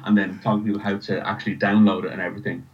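Irish male interview guest, lowish voice, casual, stop-start delivery, lo-fi sound. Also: level tone, very fast. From Ireland. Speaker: writer and podcast creator, interview guest.

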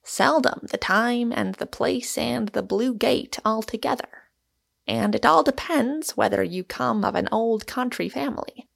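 Whimsical gentleman, done by a woman, raised pitch, mock-heroic, haughty, theatrical, audiobook character. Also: unhurried, vocal fry, heavily acted. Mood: lazily reflective.

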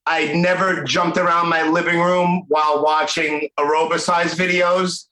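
A man's voice: on the high side, level tone, a little slow, slight background noise. A man in his forties with a Brooklyn accent, hosting a casual podcast interview in a rambling, chatty way. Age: 40s. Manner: chatty, warm-hearted, rambling. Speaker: podcast host. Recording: echoey room.

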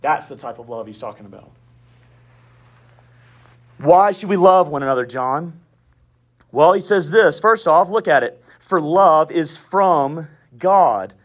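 Husky Southern preacher man. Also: a little high, animated, unhurried, long pauses. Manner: emphatic, swings between loud declarations and quiet asides, folksy humor. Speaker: pastor. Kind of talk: sermon.

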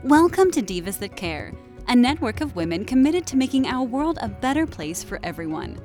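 A woman's voice: fairly high pitch, big pitch swings, moderate pace, a little mellow. A woman reading a scripted show intro in a polished, upbeat way. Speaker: show announcer. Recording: intro with faint music.

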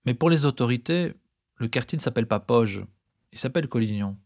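Medium-pitched man narrating a podcast. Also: animated, a little fast, darkish tone. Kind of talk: scripted explanatory narration.

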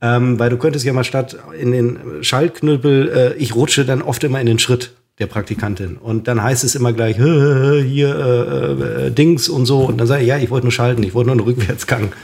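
A tipsy man rambles and rants in a comedic chat. He stumbles through fillers and restarts, then climbs into a worked-up tirade. His voice sits at a middle pitch. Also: fairly even intonation, normal speed, few pauses, darkish tone. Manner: ranting, hesitant with many fillers, gets worked up. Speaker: podcast co-host.

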